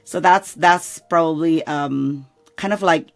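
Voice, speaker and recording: Middle-aged indonesian lady hosting a chat, gravelly voice, kinda halting, faint music under it. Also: deep voice. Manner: halting, searching for words, many fillers. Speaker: podcast host. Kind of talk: casual interview chat.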